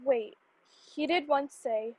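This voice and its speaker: High-voiced, forlorn young servant girl, acted by a woman. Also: slow, warm tone, no room sound. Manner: plaintive, earnest. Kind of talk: radio play acting.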